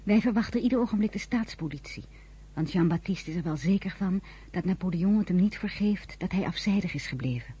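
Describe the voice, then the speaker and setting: Young mother, very husky, mellow, soft, tender yet anxious, intimate radio play. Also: fairly low pitch, lively intonation, fairly quick. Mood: grave, reflective. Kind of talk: naturalistic radio drama acting and diary narration.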